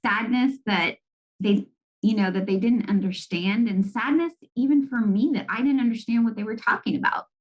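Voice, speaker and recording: A woman's voice: on the high side, normal speed, lo-fi sound. A middle-aged woman from Argentina, an executive guest answering interview questions in a reflective, earnest way, often saying "you know".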